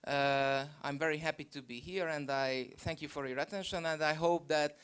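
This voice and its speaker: A man from Hungary walks through a slide presentation, pausing often with fillers between points. His voice sits a little high and comes through smooth and resonant over a video call. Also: steady tone, a bit bright. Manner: halting, many fillers. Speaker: geopark presenter.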